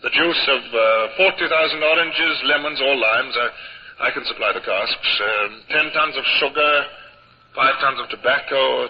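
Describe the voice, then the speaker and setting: A man playing a formal, resolute naval captain who also narrates, in a 1950s radio drama. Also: medium pitch. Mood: firm, formal. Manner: formal, measured, throat-clearing hesitations. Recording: dull, faintly noisy old radio recording.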